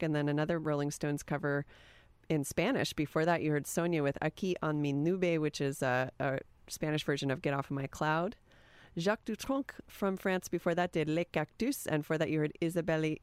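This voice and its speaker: A woman's voice: low voice, smooth, dry recording. A woman hosting a college radio music show, chatting in an easygoing, meandering way between songs in a studio. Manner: easygoing, meandering, enthusiastic about old records. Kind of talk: casual radio back-announcing and chat.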